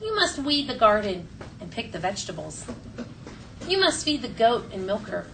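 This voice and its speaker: A woman voicing a firm, bossy old village witch grandmother from a storybook, giving slow, measured orders. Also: mid-range voice, very animated, creaky, a bit bright. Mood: firm, instructing. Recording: distant mic, noisy classroom ambience.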